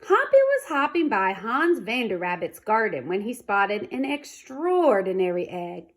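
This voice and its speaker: A woman reading a children's storybook aloud in an expressive, dramatic manner. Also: mid-range voice, measured pace. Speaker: storybook reader.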